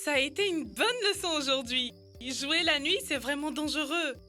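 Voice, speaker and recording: Earnest young cartoon girl: high, bright delivery, sensible and instructive. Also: moderate pace, faint music behind. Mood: earnest, pleased. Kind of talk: French-dubbed educational cartoon acting.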